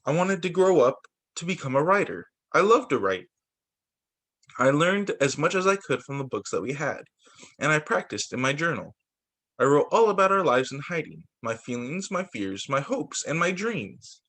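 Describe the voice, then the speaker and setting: A man's voice: fairly high pitch, very animated, normal speed, fairly bright tone. A man reading a children's book aloud as a teacher, occasionally stumbling, repeating phrases and adding casual asides. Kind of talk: children's story time read-aloud.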